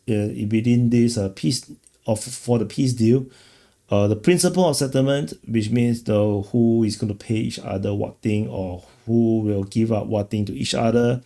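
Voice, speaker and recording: A man's voice: mid-range voice, normal speed, warm tone. A man hosting an off-the-cuff political commentary, rambling casually and often repeating words as he goes. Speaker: commentator, show host.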